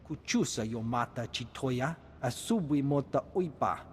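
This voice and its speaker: A man's voice: medium pitch, animated, moderate pace, a little hiss or hum, natural voice. Man voicing an alien, declaiming in reverb. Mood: declamatory. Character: male alien speaking an invented guttural tongue. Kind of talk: video game alien dialogue acting.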